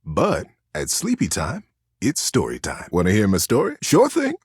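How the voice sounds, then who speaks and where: A man voicing a cuddly, earnest talking teddy bear in a video game, giving cozy, folksy encouragement with drawn-out words. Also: on the low side, wildly swooping pitch, husky, dry recording, studio quality, exaggerated voice. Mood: playful, cozy. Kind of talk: video game character acting.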